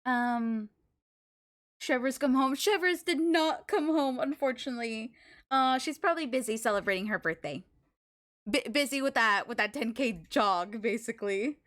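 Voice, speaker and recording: A woman live streaming a video game, chatting casually with viewers and thinking aloud in an expressive, exclamatory way. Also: fairly high pitch, moderate pace. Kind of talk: casual chat with stream viewers while gaming.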